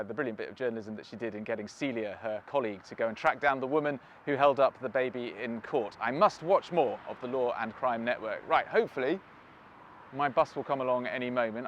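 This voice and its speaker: A man's voice: medium pitch, a bit bright, dull audio, a little hiss or hum. A British man hosting, chatting casually and self-deprecatingly outdoors while waiting for a bus. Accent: British English. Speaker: host. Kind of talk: casual interview and vlog wrap-up.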